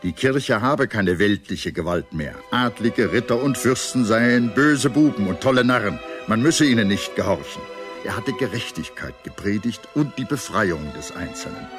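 A man with a low voice delivers scripted documentary narration. He tells it in a measured, articulate way, like an audiobook storyteller. Music plays clearly behind his voice. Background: background music.

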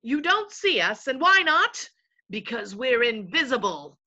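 A woman's voice: fairly high pitch, very animated, measured pace, sharp tone, voice pushed up, exaggerated voice. A woman voicing an invisible male chief of servants in a storybook, folksy, self-important and rambling as a storyteller. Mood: blustering.

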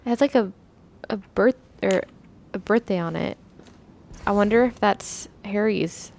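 A woman's voice: mid-range voice, measured pace, a little mellow, slight background noise. A woman chatting casually as a host reacting to video game cutscenes.

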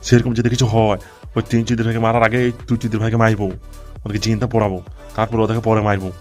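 Fast-talking, hot-tempered gangster boss played by a man. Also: fairly low pitch, little pitch movement, darkish tone, faint music behind, lightly acted. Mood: vengeful, aggrieved. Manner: coarse, swearing, blustering. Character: hot-tempered foul-mouthed adult gangster boss and harried father. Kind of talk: amateur comic cartoon dubbing.

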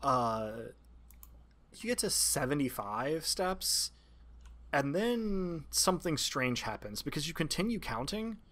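Game master man, deliberate phrasing. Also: on the high side, sing-song, a bit bright. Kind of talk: improvised tabletop game narration and banter.

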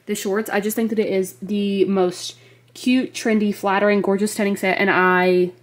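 A woman's voice: medium pitch, level tone, moderate pace. Slightly nasal young American woman. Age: 20s. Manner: gushing, emphatic, frequent "like". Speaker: fashion vlogger. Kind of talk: casual clothing haul chat. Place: bedroom, indoors. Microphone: handheld compact camera at arm's length.

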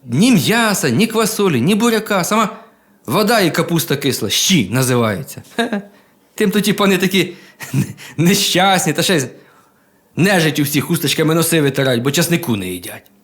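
A man telling a humorous folk tale in a colloquial, expressive, folksy manner. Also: a little high, normal speed. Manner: colloquial, expressive, with chuckles and exclamations. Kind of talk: folksy humorous oral storytelling. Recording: music behind the voice.

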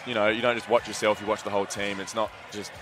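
A young Australian man talks quickly in a lowish voice, relaxed and upbeat, saying "absolutely" a lot. He speaks into a headset mic from courtside seats in an indoor gym after a game. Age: young adult. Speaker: college basketball player. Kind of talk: postgame interview.

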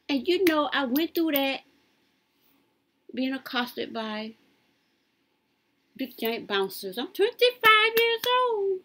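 Husky fifties woman, swooping lively storyteller. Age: 50s. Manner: lively, swoops high when quoting others. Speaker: vlogger telling her life story. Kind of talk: rambling personal storytelling.

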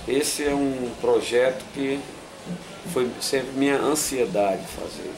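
A man's voice: medium pitch, slow, music in the background, rough recording. An older man with a Bahian accent, an event organizer from southern Bahia, speaks earnestly and reflectively. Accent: Bahian Portuguese. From southern Bahia, Brazil. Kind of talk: interview testimony. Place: indoors in front of a flag backdrop.

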